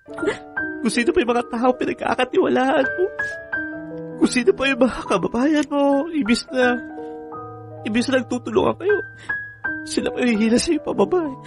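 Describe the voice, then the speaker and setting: Weary former seafarer husband, radio drama, pleading and halting, strong music bed. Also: male voice, extremely high pitch. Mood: anguished. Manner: halting, pleading, repeats words. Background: background music.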